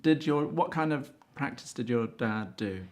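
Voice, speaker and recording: A man hosting a casual interview, chatty and quick to jump in with comments and laughs. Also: mid-range voice, expressive, darkish tone. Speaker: interviewer and show host.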